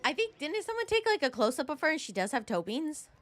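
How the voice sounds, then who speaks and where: A woman's voice: high-pitched, sing-song, fast. A young adult woman giving casual live game commentary as a streamer, in playful, rambling asides with repeated words.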